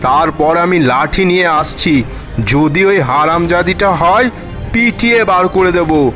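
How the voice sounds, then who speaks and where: A man's voice: fairly high pitch, a little slow, sharp tone, soft background music. A man voicing a cruel but cowardly village uncle in a cartoon fairy tale, exaggerated and repetitive. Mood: spiteful.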